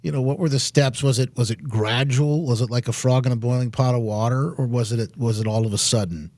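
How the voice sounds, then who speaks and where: An American man hosts a political talk show in a conversational style. His voice is of average pitch, and he lays out questions in halting, deliberate fragments. The recording is dry and free of room echo. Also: steady tone, a little mellow. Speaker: interview host.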